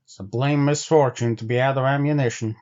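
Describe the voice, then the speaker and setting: A man's voice: mid-range voice, fairly even intonation, fairly quick, a little mellow, lo-fi sound, lightly acted. A man voicing an uneasy, grumbling frontier sled driver with folksy speech, in a storybook reading.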